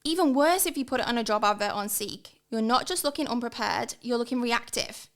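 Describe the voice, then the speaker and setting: A woman in her thirties with an English accent, giving an energetic, emphatic podcast talk as a guest consultant. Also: a little high, brisk. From England. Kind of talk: sponsored podcast monologue.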